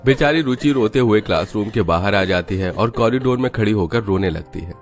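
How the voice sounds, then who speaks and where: A man's voice: lowish voice, expressive, fairly quick, soft background music. A man reading scripted narration for a children's moral story.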